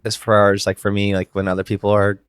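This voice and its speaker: A young man co-hosting a podcast in casual chat, talking in a halting, reflective way that circles back on thoughts. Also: fairly low pitch, monotone, clear, resonant tone, dry recording, studio quality. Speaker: podcast co-host.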